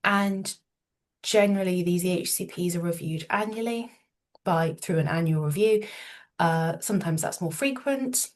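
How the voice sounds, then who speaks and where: A woman with an English accent, a solicitor giving an informative talk, slightly nasal from a cold, measured, with many ums and restarts. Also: lowish voice.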